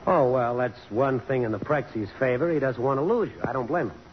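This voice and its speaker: An actor playing a wry, persistent photographer and jilted suitor, with an American accent, in mid-century radio drama style. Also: male voice, mid-range voice, brisk, lightly acted. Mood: cocky, needling. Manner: wry, sardonic. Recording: dull, noisy old radio broadcast recording.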